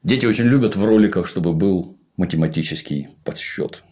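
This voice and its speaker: A man's voice: sits low, fairly quick, a little mellow. A middle-aged man talking bluntly and sarcastically as host in a casual chat. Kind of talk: casual podcast chat, critical review.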